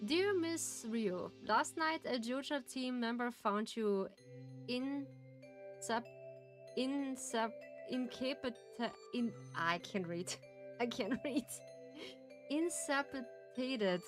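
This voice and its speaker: A woman with a German accent, chatting casually as she streams a farming game. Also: a little high. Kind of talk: casual gameplay chat.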